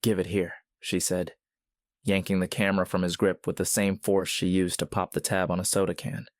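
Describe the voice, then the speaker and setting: A man narrating a scripted story in a measured, literary manner. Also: deep voice, level tone, no room sound, studio quality.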